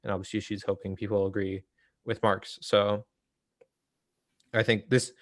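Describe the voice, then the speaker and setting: A man with a fairly low voice leads a casual book discussion. He explains haltingly, thinking aloud as he goes. The sound is dry, with very little room to it. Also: flat delivery, no echo. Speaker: host of a political book read-along.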